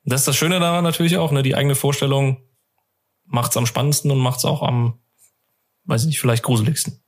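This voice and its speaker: A man's voice: mid-range voice, steady tone, brisk. A man co-hosting a casual fan podcast, telling stories in a chatty, rambling, slangy way. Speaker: podcast co-host.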